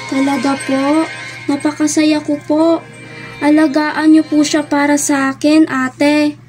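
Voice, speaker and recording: Woman playing slow, high-voiced ghostly child. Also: level tone, darkish tone, faint music behind. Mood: grateful. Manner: solemn, measured, polite. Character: gentle ghostly child guardian, solemn and devoted. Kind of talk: amateur radio drama acting.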